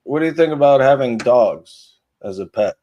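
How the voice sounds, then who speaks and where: A man's voice: medium pitch, lively intonation, normal speed, warm tone. Provocative man, slightly dull audio. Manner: provocative, crude, opinionated. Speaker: panel participant. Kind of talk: casual chat. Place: online panel call.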